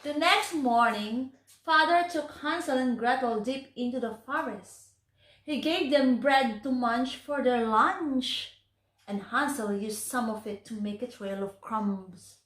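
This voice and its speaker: A woman narrating a children's story in an expressive, deliberate storytelling manner. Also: on the high side. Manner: slow, deliberate, animated delivery for children. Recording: echoey room.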